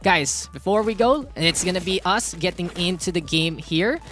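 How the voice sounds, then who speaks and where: A man with a Filipino accent and a very bright, forward voice casts an esports broadcast. He is animated and informal, switching between English and Tagalog, with music playing behind him. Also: high voice, normal speed. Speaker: esports shoutcaster and panel host. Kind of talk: lively live esports commentary mixing English and Tagalog. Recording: music bed under the commentary.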